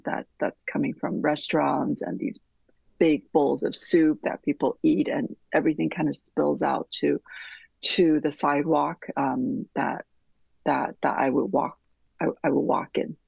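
A middle-aged woman from Taiwan speaks slowly and reflectively, with frequent restarts. Her voice has a gravelly, husky texture. The call audio sounds dry and slightly dull. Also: deep voice, no echo. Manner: thoughtful, many restarts and soft trailing fillers. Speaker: architect guest. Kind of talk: reflective interview answers. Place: home room with bookshelves, remote call.

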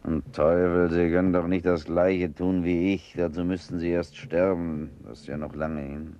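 A man's voice: low-pitched, flat delivery, normal speed, dull audio. An actor playing a blunt dying man who is wry about death, in a radio play. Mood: wry, gruff. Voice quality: gravelly, dark.

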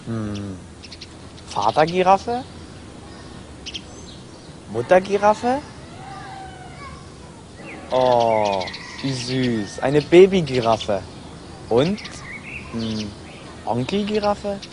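Man musing very slowly at the zoo. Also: mid-range voice, very animated, darkish tone, a little hiss or hum. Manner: slow, wondering, drawn-out hums. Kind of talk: playful musing aloud.

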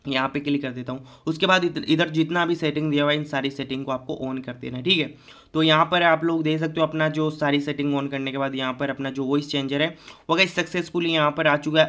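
Young male, slightly high voice; informal tech YouTuber walking viewers through steps. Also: fairly bright tone. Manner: informal, repeats filler phrases, addresses viewers as friends. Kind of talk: casual step-by-step phone tutorial.

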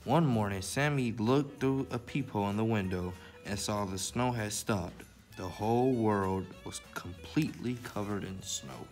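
A man's voice: fairly low pitch, unhurried, darkish tone, soft background music. A man hosting a library story time, presenting to children in the manner of a read-aloud and simple how-to.

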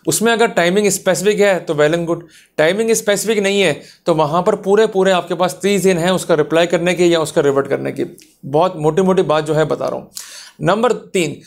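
An Indian man in his thirties giving an explanatory legal lecture in an emphatic, didactic way, repeating key points. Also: on the high side. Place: small office, indoors.

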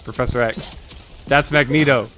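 A man's voice: medium pitch, steady tone, normal speed, fairly bright tone, soft background music. A young man on a livestream at home, reading absurd comedy scripts aloud. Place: dim room at home.

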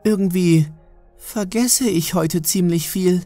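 Man putting on a shrill, haughty old lady. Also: slow pace, dark tone, faint music behind. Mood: confused, anxious. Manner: imperious, complaining. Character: haughty, forgetful, demanding fat old lady patient. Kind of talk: horror audiobook character voice.

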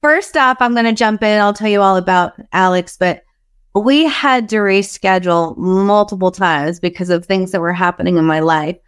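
A woman's voice: mid-range voice, moderate pace, smooth. A woman podcast host chatting in a friendly, effusive and enthusiastic way with a guest over a video call. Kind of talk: friendly interview chat. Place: small home office, indoors.

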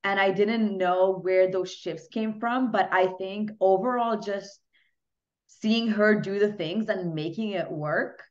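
A woman's voice: mid-range voice, takes it slowly. A young woman from Hungary, a podcast guest, chatting casually in a small home room with lots of "you know" and "like". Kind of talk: casual interview chat. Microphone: laptop webcam mic on a video call. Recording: dull lo-fi video call audio.

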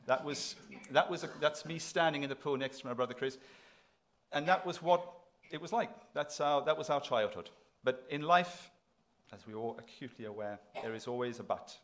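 A man's voice: medium pitch, normal speed, fairly bright tone. A middle-aged man giving a public address from a podium, conversational but emphatic, repeating phrases for effect. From South Africa. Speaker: institution president giving inaugural address. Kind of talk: public lecture to an audience. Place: lecture hall, at a podium.

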